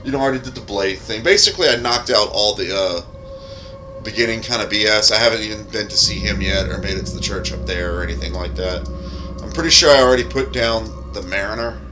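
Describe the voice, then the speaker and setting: A man's voice: lowish voice, quick pace, bright, forceful tone. A man giving casual, rambling, explanatory gameplay commentary with some offhand swearing, as a gamer showing off his own mod. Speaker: game streamer and modder. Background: music.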